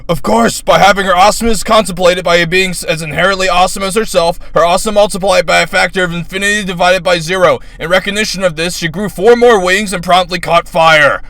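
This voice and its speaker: A man giving a bombastic, grandiose dramatic reading of a comic fan story in a strained, loud delivery. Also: high-pitched, fairly even intonation, fast talker, few pauses, no echo. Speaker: fan fiction reader.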